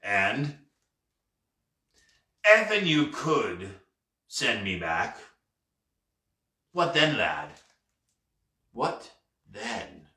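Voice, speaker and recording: A man acts out a ghostly pirate captain for a storybook, burly, sly and full of bluster. He drags out his archaic seafaring phrases very deliberately, with a bright, forceful edge. The sound is roomy and set back from the mic. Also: a little high, animated, heavily acted. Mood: sly, menacing. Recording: roomy, echoey, distant mic.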